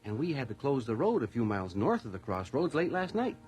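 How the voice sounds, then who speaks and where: A man's voice: medium pitch, sing-song, fairly quick, a little mellow, soft background music, natural voice. A man acting in a radio drama as an earnest, deferential police constable with an official manner. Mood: earnest, explaining.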